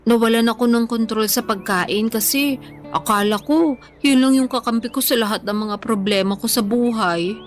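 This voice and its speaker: Casting: female actor for earnest young woman in radio drama, fairly high voice, candid and emotive, light music underneath. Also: moderate pace, lightly acted. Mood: regretful confiding. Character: earnest young woman on a weight-loss journey, hopeful. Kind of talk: Tagalog radio drama dialogue, conversational acting.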